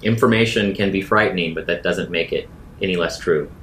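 A man takes part in tabletop role-play. His voice is low and he talks quickly. The recording is roomy, with faint background noise. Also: fairly bright tone. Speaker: role-playing game player.

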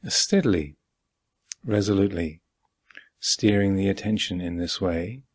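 A man with a husky voice guiding a meditation as a teacher, speaking calmly and deliberately with long pauses. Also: low voice. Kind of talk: guided meditation instruction. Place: meditation hall at a retreat.

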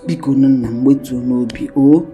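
Casting: begging Nigerian in-law, low mellow voice, interjecting pleas, film drama. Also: female voice, moderate pace, faint music behind. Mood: imploring. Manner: imploring, interjecting. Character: imploring in-law begging forgiveness for a young woman.

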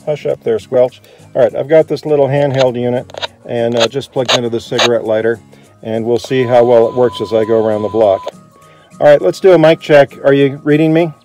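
A man's voice: mid-range voice, fairly even intonation, fairly quick, over music, poor audio. An American man, around his fifties, chatting casually and thinking aloud as he explains his gear hands-on. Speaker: vlogger demonstrating Jeep radio gear. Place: outdoors by a vehicle and inside a truck cab.

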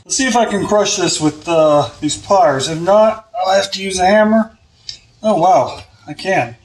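A man in his thirties with a slightly high voice, talking through a hands-on job in an offhand way. He hosts it like a casual DIY tutorial. The sound is clearly echoey, with some faint noise behind him. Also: unhurried. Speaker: host of a DIY car audio video. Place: home garage, at the car and workbench. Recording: roomy echo, faint noise and clicks.

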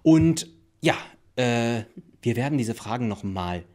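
Male presenter, thirties. Casual promo chat, mid pitch, animated melody. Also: slow. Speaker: presenter, writer and actor. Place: living room, indoors.

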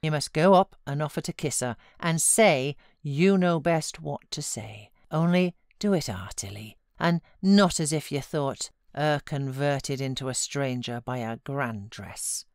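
A woman's voice: low-pitched, a little mellow, clear, resonant tone, no room sound, clean studio sound. A British woman voicing a motherly housekeeper who coaxes and scolds frankly in a storybook reading. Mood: coaxing. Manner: brisk advice, coaxing and chiding.

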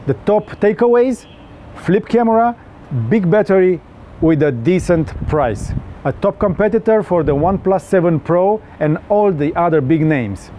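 A man's voice: high-pitched, expressive, normal speed, mellow, slight background noise. A young man with a Romanian accent, giving an earnest, slightly stilted scripted review of a phone with small jokes. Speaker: tech reviewer.

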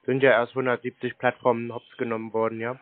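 A man's voice: medium pitch, level tone, fast, no echo. Laconic, teasing man on a phone line. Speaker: prank caller baiting scam call centers. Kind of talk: casual phone conversation. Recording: band-limited phone-call audio.

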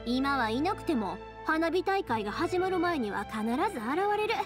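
A woman voicing a cheerful, big-sisterly young fireworks maker in an anime game, with a Kansai accent and a lively, reassuring, colloquial way of talking. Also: high voice, normal speed, music in the background. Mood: encouraging. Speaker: video game character voice actor. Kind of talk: anime-style game dialogue.

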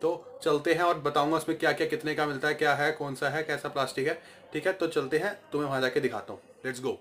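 A man's voice: fairly high pitch, steady tone, quick pace, a bit bright. A young man in his twenties chatting casually to his phone camera as a vlogger, leaning on fillers. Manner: chatty, repeats "theek hai" as a filler. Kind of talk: casual vlog chat. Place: small indoor room. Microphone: phone camera at arm's length. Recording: noisy street while riding.

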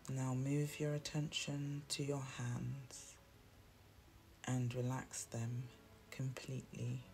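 A meditation guide speaks extremely slowly in a soothing, hushed way, with a creaky edge to the voice. The delivery is even and deliberate, leaving long pauses, and instrumental music plays behind it. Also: mid-range voice. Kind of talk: guided meditation, scripted narration. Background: soft instrumental music.

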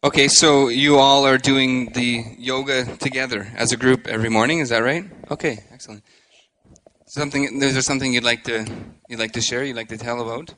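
A man in his thirties hosting a live interview on a handheld microphone, speaking haltingly with frequent pauses. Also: mid-range voice, fairly even intonation, bright, forceful tone, a little hiss or hum, low-quality recording. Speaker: host. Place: indoor stage with curtain.

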